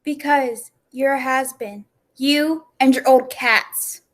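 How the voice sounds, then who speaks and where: A woman's voice: sits high, fairly even intonation, slow. A woman playing an indignant circus star in a 1940s-style radio play. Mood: taunting.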